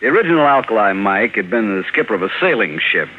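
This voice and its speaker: Low-voiced insurance boss. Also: male voice, sing-song, fast talker. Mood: informative. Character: businesslike male insurance company manager, suspicious. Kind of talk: 1950s radio acting. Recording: tinny, slightly noisy vintage radio recording.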